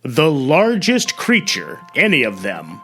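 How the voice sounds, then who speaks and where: Guy telling stories, really animated, bright forceful voice, dramatic but casual, faint music behind. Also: medium pitch, takes it slowly. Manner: dramatic phrasing, conversational commentary. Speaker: host and storyteller. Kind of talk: storytelling narration with casual asides.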